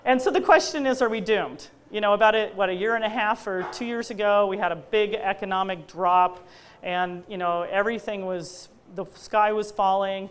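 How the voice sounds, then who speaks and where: A middle-aged woman, an engineering lecturer at a university, giving an energetic public talk with slides in a loud voice and many fillers. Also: mid-range voice, normal speed.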